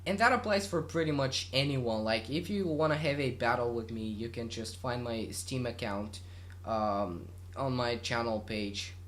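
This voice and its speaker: A man's voice: mid-range voice, normal speed, a bit bright, slight background noise. Rambling Bulgarian guy answering questions. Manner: rambling, many fillers and restarts. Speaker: YouTube gaming channel host. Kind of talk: casual Q&A monologue.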